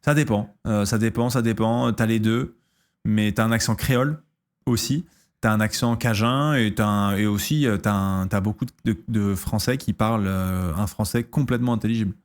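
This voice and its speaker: A man in his thirties from France talks casually on his stream, answering viewers' questions. His voice sits a little low, and he halts often, restarting sentences and filling gaps with hesitations. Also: moderate pace. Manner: hesitant, lots of fillers and restarts.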